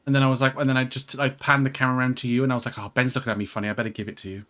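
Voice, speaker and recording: A man with a mid-pitched voice talks casually over a co-op game. He rambles, jokes around and swears freely, like a streamer chatting with a friend. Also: level tone, racing, a bit bright. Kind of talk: casual co-op let's play chat.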